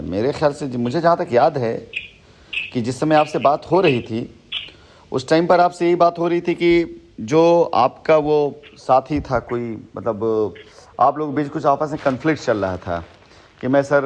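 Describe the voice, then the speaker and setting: A man's voice: mid-range voice, slight background noise. A man speaking assertively and at length on a recorded phone call, repeating himself for emphasis. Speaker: company head on a phone call with a former associate. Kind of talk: phone conversation in Hindi mixed with English words.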